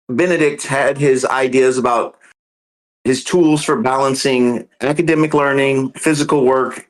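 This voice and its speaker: A man hosts a casual podcast talk and works through a theological idea point by point. He speaks slowly, pausing often on ums, and his voice sits at an ordinary middle pitch. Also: low-quality recording. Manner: earnest, explains step by step with many ums. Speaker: podcast host.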